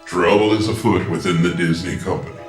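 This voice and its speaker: A man's voice: deep voice, fairly even intonation, a little fast. A man delivering dramatic scripted narration for a radio play, with music behind him. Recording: roomy echo.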